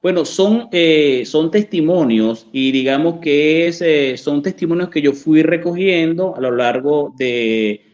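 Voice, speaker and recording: A man's voice: on the high side, a little slow, hardly any pauses, darkish tone, muffled recording. A man with a Venezuelan accent, an author answering interview questions in a hesitant way with many fillers and restarts. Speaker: author and journalist, interview guest.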